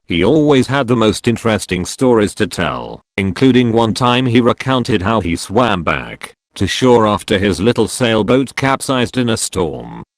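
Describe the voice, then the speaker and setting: A man narrating a story read from an online forum in an animated storytelling manner. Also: lowish voice, unhurried, few pauses, no room sound. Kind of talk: reading online stories aloud.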